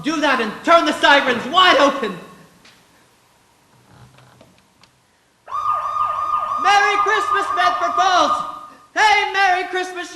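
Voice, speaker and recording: A man's voice: extremely high pitch, sharp tone, heavily acted. A man playing an overwrought, giddy family man in a live radio play, exclamatory and stammering, on a stage. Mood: giddy elation. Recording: echoey stage, faint music behind.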